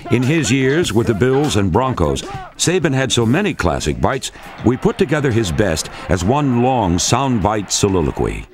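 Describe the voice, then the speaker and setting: A man's voice: medium pitch, sing-song, normal speed. A man reading scripted narration for a sports documentary, as its narrator. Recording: music bed under narration.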